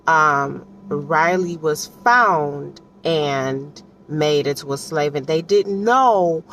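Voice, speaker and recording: A low-pitched woman talks off the cuff as a casual book reviewer, with some noise behind her. She is animated and colloquial, repeating phrases for emphasis. She keeps checking in with whoever is listening. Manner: chatty, often checks in with the listener. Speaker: audiobook reviewer giving personal commentary. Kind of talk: casual, unscripted book commentary.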